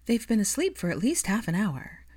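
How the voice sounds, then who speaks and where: A woman voicing a warm, motherly young woman who coaxes and teases in a bright, emphatic way. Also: mid-range voice, sing-song, normal speed, slightly rough, dry recording, studio quality, voice pushed up. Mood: welcoming.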